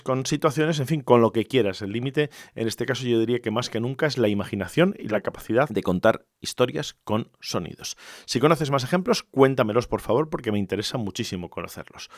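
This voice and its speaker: A man's voice: mid-range voice, no echo, clean studio sound. A man from hosting a podcast alone in an informal, conversational, explanatory way. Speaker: podcast host. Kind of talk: conversational solo podcast monologue.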